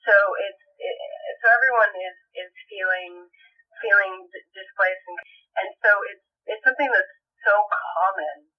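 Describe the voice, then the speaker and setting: A woman from Puerto Rico, an actress guest, talking thoughtfully with many halting restarts in a podcast interview. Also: mid-range voice. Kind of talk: podcast interview over the phone. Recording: muffled phone line, far from the mic.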